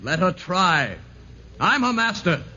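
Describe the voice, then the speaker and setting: Casting: murderous, arrogant hunter, menacing and theatrical, wildly swooping delivery, slow pacing, old radio melodrama. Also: male voice, high-pitched, big pitch swings, sharp tone, heavily acted. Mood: defiant contempt. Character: arrogant, unhinged male big-game hunter, murderous husband. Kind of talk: 1940s radio melodrama acting. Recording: muffled, noisy 1940s radio recording.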